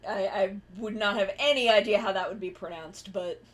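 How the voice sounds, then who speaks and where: A woman's voice: medium pitch, normal speed. A woman streamer chatting casually while she draws, rambling and correcting herself mid-sentence, with the odd swear. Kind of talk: casual chat while drawing.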